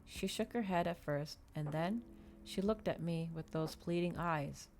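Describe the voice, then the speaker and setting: A woman's voice: on the low side, measured pace, a little mellow, slight background noise. A woman streamer doing an ASMR stream, reading a book and chatting casually to listeners. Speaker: VTuber streamer, reader.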